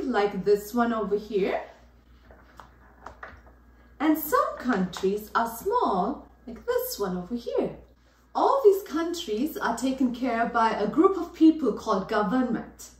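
A woman hosting a children's circle time lesson, explaining things slowly and gently as a teacher. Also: sits high. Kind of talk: children's lesson, slow and clear. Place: living room with plants. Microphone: far camera. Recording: roomy echo, from far off.

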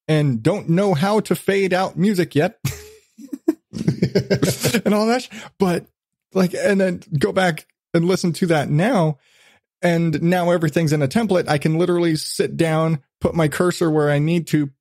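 A man's voice: a little high, a little mellow, no room sound, studio quality. A man hosting a podcast in a casual, profane chat, telling things animatedly with acted-out asides. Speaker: podcast host.

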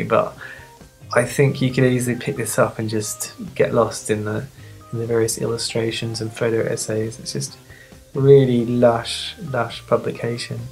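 A sniffly magazine reviewer of medium-pitched voice chatting casually off the cuff, rambling, playful and self-deprecating. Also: vocal fry. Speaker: magazine reviewer and video host.

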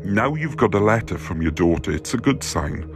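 An actor playing a concerned, sympathetic prison jailer in an audio drama, with an official manner. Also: male voice, lowish voice, big pitch swings, normal speed, over music. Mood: reassuring.

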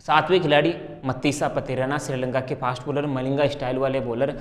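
A man's voice: on the high side, darkish tone. A young man giving emphatic, conversational sports analysis to camera as a cricket presenter. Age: young adult. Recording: long reverberant hall-like echo.

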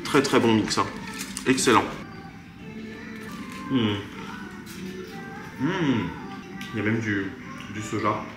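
A man's voice: on the low side, slow pace, pauses often. A man in his thirties chatting enthusiastically to viewers as a travel vlogger, filming himself at arm's length in a small restaurant. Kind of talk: casual travel vlog. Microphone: handheld camera at arm's length. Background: background music.

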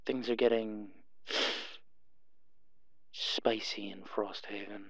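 A man's voice: lowish voice, flat delivery, takes it slowly, creaky, dry recording, low-quality recording. A man playing a suave, flirtatious underworld club owner in an audio roleplay, purring and teasing as he talks. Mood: smooth, assured.

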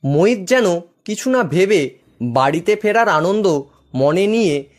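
Higher-pitched man narrating horror story. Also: animated, measured pace, a little mellow.

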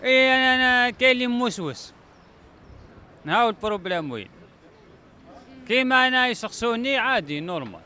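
Very high-pitched, bright Algerian man. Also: expressive, fairly quick, pauses often. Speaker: passer-by being interviewed. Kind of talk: casual street interview. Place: outdoors on a busy city street. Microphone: handheld microphone. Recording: faint street noise.

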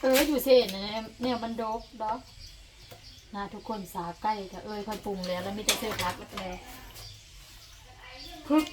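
A woman's voice: mid-range voice, moderate pace, pauses often, very noisy. A woman with an Isan accent from northeastern Thailand, chatting casually as a vlogger to her viewers and companions. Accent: Isan (northeastern Thai). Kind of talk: casual vlog chat, talking to viewers and companions.

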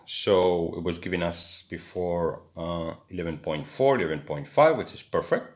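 A man's voice: sits low, moderate pace, muffled recording. A man talking through an electronics repair at his workbench, hesitant, with many fillers and restarts. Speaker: electronics hobbyist. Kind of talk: hands-on repair tutorial. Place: indoors at a workbench.

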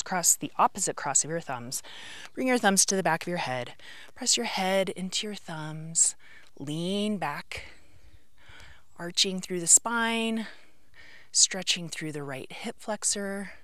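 A middle-aged woman teaching a yoga class, cueing poses and breaths step by step in short, measured phrases. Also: fairly low pitch, fairly bright tone, no echo. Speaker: yoga instructor. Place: outdoors on an open rocky canyon rim.

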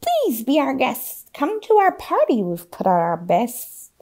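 Here is an excitable storybook witch, acted out by a woman reader. The voice jumps up high and loud, exclaiming and swooping with urgency. It has a soft, mellow tone underneath. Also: measured pace, heavily acted. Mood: inviting. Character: excited, shrill witch hosting a monster party.

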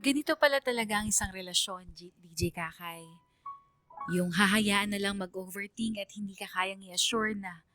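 Actress as troubled student telling her love story. Filipino accent, mid-pitched, confiding radio drama narration over music. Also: normal speed, sharp tone, natural voice. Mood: resigned. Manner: confiding, reflective. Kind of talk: scripted first-person radio drama narration.

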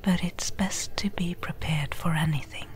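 A woman playing a friendly, seasoned desert trader in a soft, breathy, gentle whispered ASMR roleplay. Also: fairly low pitch, lightly acted. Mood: calm, friendly. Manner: slow, gentle, unhurried.

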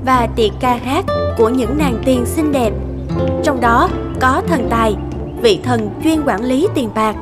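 A woman's voice: fairly high pitch, unhurried. A woman narrating a scripted fairy tale, with music playing under her voice.

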